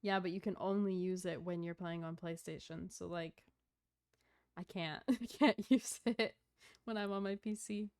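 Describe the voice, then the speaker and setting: A woman's voice: fairly low pitch, steady tone, normal speed, a little mellow, clear, resonant tone. A woman game streamer talking casually and informally to her viewers while she plays. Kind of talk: casual livestream chat while gaming. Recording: game music behind the voice. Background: game music.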